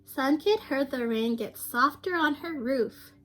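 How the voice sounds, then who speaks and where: A woman's voice: sits high, lively intonation, unhurried, pushed and bright, slight background noise. A young woman reading a storybook aloud to children, speaking simply and expressively and prompting her listeners with questions. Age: young adult.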